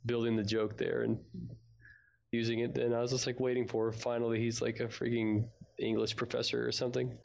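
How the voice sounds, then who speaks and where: A man's voice: medium pitch, monotone, fairly quick, vocal fry, darkish tone. A man co-hosting a fan podcast in casual, informal chat. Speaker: podcast co-host.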